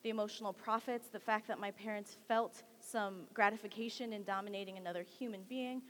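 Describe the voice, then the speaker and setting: A young woman from California giving an earnest, measured talk as a guest speaker at a campus assembly. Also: medium pitch, steady tone, a bit bright, a little hiss or hum. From Central Valley, California, United States. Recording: long hall reverb.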